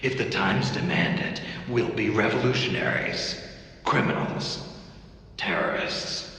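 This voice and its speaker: In a long, reverberant hall, a very deep male military commander speaks to his troops. He is grave and defiant, measuring out his solemn oratory slowly. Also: animated, pushed and bright, full character voice. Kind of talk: dramatic video game voice acting, speech to troops.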